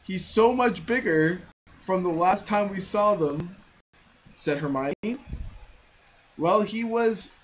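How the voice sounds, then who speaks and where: A man co-hosting a comedy podcast, tossing out crude wisecracks and reading a story aloud haltingly. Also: high-pitched, lo-fi sound, a little hiss or hum. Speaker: co-host. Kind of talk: casual comedic podcast banter.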